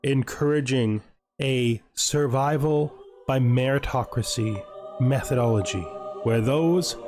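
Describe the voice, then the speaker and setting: A man playing a solemn chronicler of a vampire clan, giving slow, measured scripted game narration. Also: medium pitch, a little mellow, lightly acted. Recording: music playing behind the voice.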